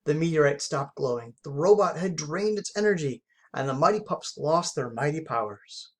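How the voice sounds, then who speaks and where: A reader telling a children's adventure story aloud in an expressive, emphatic way. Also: medium pitch, normal speed.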